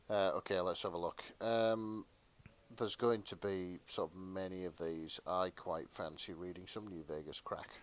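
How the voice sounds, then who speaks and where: Male co-host, fairly low pitch, informal, nerdy and keen conversational delivery. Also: fairly even intonation, measured pace. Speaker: podcast co-host. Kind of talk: casual chat.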